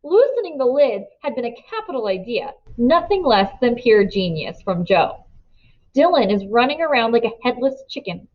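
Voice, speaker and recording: A woman's voice: on the high side, big pitch swings, moderate pace, dull audio. A woman voicing a smug, clever schoolboy in a children's novel, with formal, emphatic phrasing. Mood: gleeful, smug. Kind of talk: children's novel read aloud.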